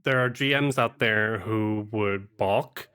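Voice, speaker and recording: A man's voice: lowish voice, takes it slowly, pushed and bright, clear, resonant tone. A man in a casual interview as a guest, choosing his words with care and some hesitation. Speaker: podcast guest, game master.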